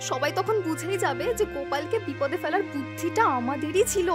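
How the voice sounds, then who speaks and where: A woman's voice: high-pitched, brisk, over music, lightly acted. A woman voicing a scheming, wary food seller's wife in a cartoon, urging and wheedling. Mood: urging, sly. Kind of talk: cartoon dialogue.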